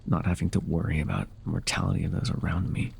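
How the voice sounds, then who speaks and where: A man performs a forgotten god of fantasy audio drama, sorrowful and alone. The voice sits very deep, full of heavy gravel and worn down to a husky breath. The words come haltingly, as if brooding aloud. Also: big pitch swings, a little mellow, full character voice. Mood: mournful, brooding. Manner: slow, halting, brooding delivery with sighs. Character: forgotten lonely male former god, sorrowful and wounded. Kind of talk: ASMR audio roleplay monologue.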